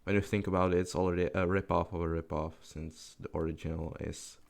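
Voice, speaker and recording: A young man of eighteen talks unscripted over his gameplay footage. His voice sits low and gravelly, with plenty of vocal fry. He rambles, swears casually and laughs at himself. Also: little pitch movement, brisk. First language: Czech. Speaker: gaming commentary YouTuber.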